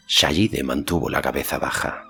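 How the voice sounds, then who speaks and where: Very deep, gravelly male audiobook narrator. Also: fairly even intonation, normal speed, fairly bright tone, faint music behind. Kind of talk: scripted storybook narration.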